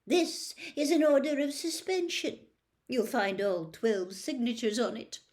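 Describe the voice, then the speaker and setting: A woman voicing a haughty, smooth aristocratic villain from a storybook, with a lazy, mock-polite drawl. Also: a little high, a little mellow. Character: haughty, smooth, sneering aristocratic villain man, lazily menacing. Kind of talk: storybook character reading.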